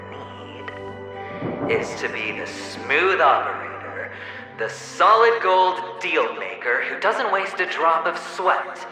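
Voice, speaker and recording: A slow, echoing, theatrical gambler. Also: male voice, medium pitch. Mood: taunting, theatrical. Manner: theatrical, deliberate, with sighs and dramatic pauses. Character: smooth, theatrical, world-weary young male gambler and his mocking double. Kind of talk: dramatic video game acting. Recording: heavy echo, long reverb, music underneath. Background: music.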